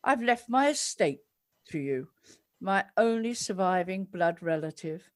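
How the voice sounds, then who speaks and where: A woman playing an old retired actress voicing her letter in an audio play. She speaks very slowly, in measured, eloquent phrases, fond and reflective throughout. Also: medium pitch, lively intonation, lightly acted. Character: elderly great-aunt, retired actress, affectionate and reflective.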